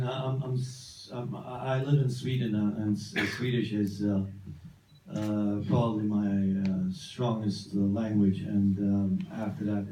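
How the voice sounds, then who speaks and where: A man's voice: lowish voice, dark tone, slight background noise. A man being interviewed after a film screening, hesitant at first and then joking, recorded from afar in a cinema. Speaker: actor guest. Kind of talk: post-screening Q&A answer. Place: cinema auditorium. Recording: echoey hall, distant, faint ambience.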